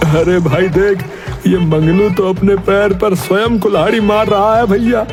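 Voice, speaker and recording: A man voicing village washermen, lazy or scheming, in an animated moral story, with an exaggerated drawl. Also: high voice, a little slow, over music. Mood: scheming, resentful.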